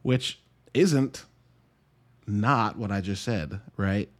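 A man's voice: lowish voice, extremely slow. Wry man reviewing movies casually. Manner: conversational, wry, self-interrupting with dry asides. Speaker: podcast host and movie reviewer.

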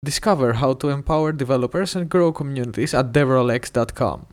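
A man's voice: medium pitch, fairly quick. A man hosting a show, reading a scripted promotional intro in an upbeat way. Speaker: show host. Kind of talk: scripted ad read.

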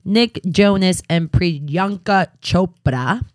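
Woman co-host, lowish voice, chatty and giggly, dry close mic. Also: slow pace, darkish tone, no echo. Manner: laughs often, playful and chatty. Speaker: podcast co-host. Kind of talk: casual chat.

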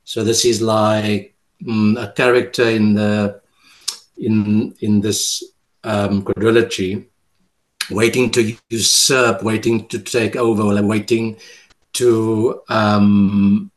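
Slow, low-voiced South African poet. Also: male voice, little pitch movement, low-quality recording. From Cape Town, South Africa. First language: Afrikaans. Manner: hesitant, halting with many fillers and restarts. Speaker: poet, guest. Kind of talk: casual interview, reading his poem aloud.

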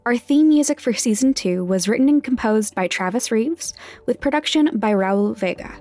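A woman's voice: fairly high pitch, lively intonation, moderate pace, darkish tone, faint music behind. A woman reading scripted end credits as a podcast's creator, in an orderly announcing manner.

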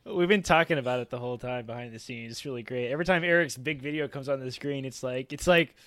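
A man's voice: medium pitch, fast, a bit bright. A man chatting casually as a podcast panelist in an enthusiastic, playful way. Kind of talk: casual fan discussion.

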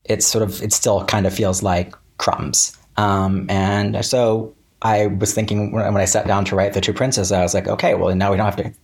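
An American man with a lowish, slightly rough-edged voice speaks as a guest in a casual interview. He restarts and corrects himself often as he works through his thoughts. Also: little pitch movement, normal speed, hardly any pauses. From Central Florida, United States.

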